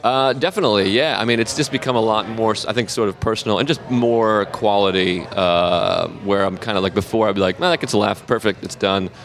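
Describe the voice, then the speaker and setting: A comedian in his thirties with a Boston accent talks in a casual interview. His voice sits very low with a gravelly fry. He halts, restarts and laughs at himself often. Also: fairly bright tone. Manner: halting, laughs often, self-deprecating. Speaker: comedian guest.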